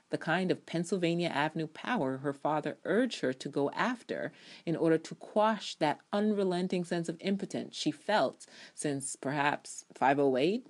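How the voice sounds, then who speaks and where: Female reviewer, low-pitched, reads her essay aloud with theatrical emphasis, sassy and blunt. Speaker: reviewer reading own TV drama essay. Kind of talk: dramatic reading of a written review with ad libs.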